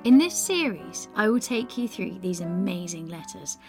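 A woman's voice: medium pitch, big pitch swings, normal speed, soft background music. A woman presents a series in scripted podcast narration.

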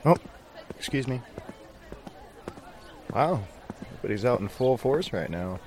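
A man's voice: medium pitch, animated, a little slow, a little mellow, a little hiss or hum. A man playing an easygoing, polite survivor of a zombie apocalypse in an audio drama, narrating and speaking as the lead. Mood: friendly, casual.

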